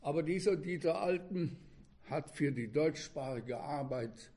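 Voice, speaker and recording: Elderly male preacher, eighties. Unhurried, measured delivery in halting phrases, soft-edged warm tone, reflective personal address to a congregation. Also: fairly high pitch. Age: 80s. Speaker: preacher, former religious radio broadcaster. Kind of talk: sermon opening with personal reminiscences to a congregation.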